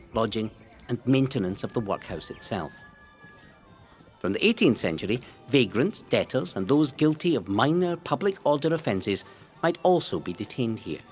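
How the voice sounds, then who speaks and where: A Scottish man reading a scripted history narration in a dramatic, deliberate storytelling way. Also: on the low side. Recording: long hall-like reverb.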